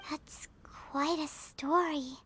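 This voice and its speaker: A woman voicing a tiny childlike fairy companion in a video game, sweet, curious and earnest, with a squeaky voice. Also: fairly even intonation, very slow, fairly bright tone, exaggerated voice. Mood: subdued, sympathetic.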